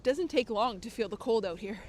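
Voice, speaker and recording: A woman's voice: on the high side, lively intonation, fairly quick, darkish tone, slight background noise. A woman live-streaming outdoors at night, reading viewer comments in a chatty way, a bit nasal from a cold. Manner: chatty, laughs often. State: recovering from a cold, slightly nasal. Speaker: IRL streamer. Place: outdoors on city streets at night.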